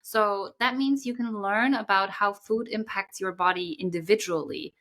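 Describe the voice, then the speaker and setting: A young woman co-hosting a casual podcast chat, explaining at length with frequent ums and pauses. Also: medium pitch, fairly bright tone. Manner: thoughtful, explains at length with many fillers. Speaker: podcast co-host.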